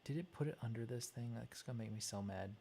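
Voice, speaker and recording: Streamer with a medium pitched voice just kinda muttering thru their work, casual n hesitant. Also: fast talker, warm tone, slight background noise. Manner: hesitant, muttering through the task, thinking aloud. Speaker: livestream host. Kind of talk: casual chat while working on a wiring diagram.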